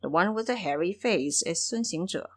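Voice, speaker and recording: Casting: a woman as a taunting male monster warlord for fantasy audio drama, bossy, with a lively pitch range. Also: normal speed. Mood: pointing out. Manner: commanding, taunting. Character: commanding, mocking male monster king with a sword.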